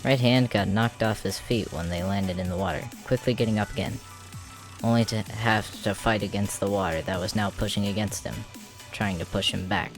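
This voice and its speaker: Male narrator, lowish pitch, dramatic scripted storytelling, faint music underneath. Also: steady tone, moderate pace. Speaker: narrator and voice actor.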